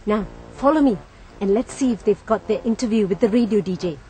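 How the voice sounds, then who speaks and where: A woman's voice: mid-range voice, a little fast, music in the background. A woman presenting a scripted educational TV lesson, explaining phrases with emphatic, teacher-like questions.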